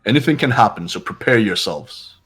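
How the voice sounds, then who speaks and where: A man voicing a stern, resolute young leader in a video game, speaking gravely and with authority. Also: on the low side, expressive, a little fast, a bit bright, soft background music. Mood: commanding. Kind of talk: amateur voice acting of a video game.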